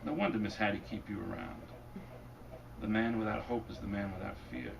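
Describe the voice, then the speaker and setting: A man reading his fiction aloud at a bookstore event, deadpan and wry, and losing his voice. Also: lowish voice, brisk, vocal fry, highs cut off. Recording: distant mic, noisy room. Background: audience laughter.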